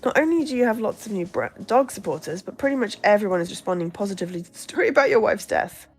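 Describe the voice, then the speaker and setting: A woman's voice: mid-range voice, lively intonation, fast talker, husky, soft background music. A woman voicing a positive, matter-of-fact campaign aide in a visual novel, blithe and consoling.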